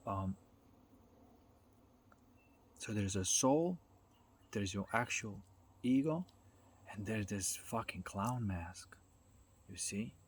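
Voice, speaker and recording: A man giving an informal, unscripted talk as a spiritual self-development speaker, halting as he searches for words and often checking in with the listener. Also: on the low side, animated. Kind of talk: informal unscripted explanatory monologue. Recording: noticeable background noise.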